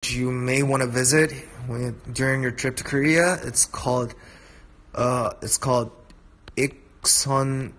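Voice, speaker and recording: Young man on a phone live stream, relaxed comment-reading chat, dim room, easygoing mid-pitch voice. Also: unhurried. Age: young adult. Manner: relaxed, chatty, switching between English and Korean. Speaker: livestream host. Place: dim room indoors at night. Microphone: phone held close at arm's length.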